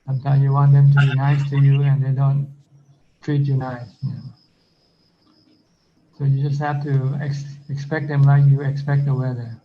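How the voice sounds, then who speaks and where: A man's voice: mid-range voice, flat delivery, moderate pace, pauses often, a little hiss or hum. A man who is a Buddhist monk, giving an informal teaching and answering questions on a video call, speaking plainly with simple comparisons. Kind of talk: informal Dhamma talk and Q&A. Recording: dull lo-fi video call audio.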